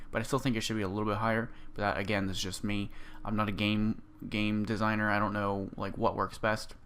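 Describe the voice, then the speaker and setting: A man with a hoarse, sore-throated voice, casually walking through his game build and rambling with lots of ums. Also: fairly low pitch, fairly bright tone. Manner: rambling, full of ums and restarts. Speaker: gaming video creator. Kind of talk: casual gameplay build walkthrough.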